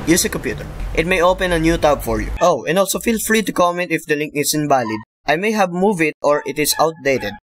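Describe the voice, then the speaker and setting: Through audible background noise, a Filipino-accented man narrates a software tutorial. His voice sits a little high, and his delivery is informal. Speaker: tutorial presenter. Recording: clearly noisy home voice-over recording.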